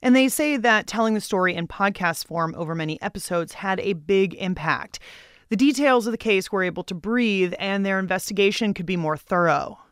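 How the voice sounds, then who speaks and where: A woman's voice: mid-range voice, normal speed, clean tone, no echo, clean studio sound. An American woman hosting a radio show, narrating in a playful, chatty, wry way. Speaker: radio show and podcast host. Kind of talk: playful conversational scripted narration. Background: music.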